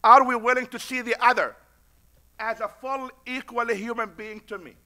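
A man's voice: extremely high pitch, steady tone, normal speed, bright, forceful tone. A middle-aged man with a foreign accent, an imam giving a conference speech at a podium, preacher-like and repeating lines for emphasis. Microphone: podium mic.

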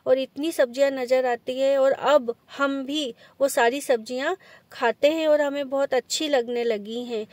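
A woman's voice: high-pitched, steady tone, unhurried, darkish tone, no room sound. A woman from India narrating a casual travel vlog in a chatty, easygoing way while filming a village walk. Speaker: vlogger. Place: rural village outdoors, later in a car at night.

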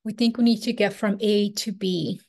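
A woman's voice: medium pitch, fairly even intonation, normal speed, a little mellow. A woman, teaching a spiritual workshop over a video call in a loose, flowing way with many "like"s. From Peru. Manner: casual, flowing, filler-heavy with frequent "like" and "um". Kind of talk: online workshop presentation. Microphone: webcam on video call.

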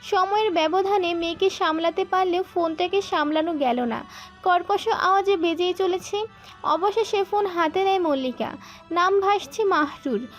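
A woman's voice: very high voice, normal speed, soft background music. A woman reading a scripted romantic story as its narrator.

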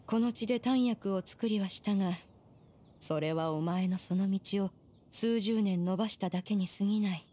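A woman voicing a haughty, stern immortal sage in a video game, speaking in a measured, archaic, imperious way. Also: medium pitch, mellow, slight background noise, natural voice. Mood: grave. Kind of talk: Japanese video game voice acting.

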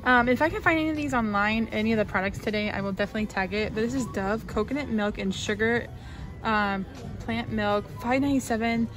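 A young woman talks through a store aisle as she films on her phone, reading out labels and prices in a casual, rambling way. Her voice sits a little high, and faint music plays in the background. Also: brisk, a bit bright. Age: young adult. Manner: chatty, reads out labels and prices, lots of fillers. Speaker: shopping vlogger. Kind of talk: casual shop-with-me narration.

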